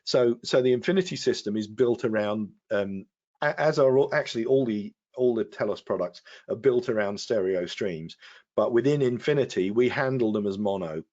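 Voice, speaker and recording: Low-voiced man giving a halting tech walkthrough. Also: darkish tone. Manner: halting, explanatory. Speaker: guest product expert giving a demonstration. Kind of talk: technical software walkthrough. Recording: dull, lo-fi web stream audio.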